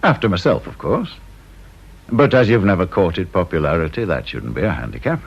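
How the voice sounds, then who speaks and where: A caustic English board chairman in a radio play, gravelly and swooping widely in pitch. He is officious and sardonic, leaning on his words with relish, on a dull old recording. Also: big pitch swings, a little fast, full character voice. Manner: sardonic, officious, drawling emphasis. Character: caustic, domineering male chairman of an interview board. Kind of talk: British radio drama acting.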